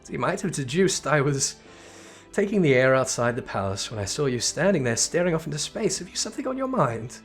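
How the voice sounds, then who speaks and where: A man's voice: fairly high pitch, very animated. Man voicing an earnest, regal young sultana, music behind. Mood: earnest, reflective. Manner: formal, measured, courtly phrasing.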